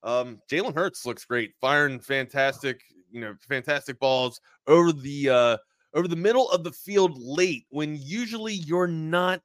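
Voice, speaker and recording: Bright-voiced guy on a sports podcast. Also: a little high, a little slow, no room sound, studio quality. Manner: loose, slangy, says man and bro. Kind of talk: casual sports talk.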